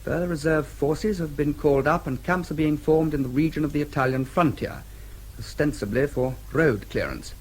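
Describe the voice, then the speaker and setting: An actor playing a dry, formal British diplomat in a radio drama, with a slightly husky voice and a wry manner. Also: male voice, fairly high pitch, normal speed. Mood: formal, dictating.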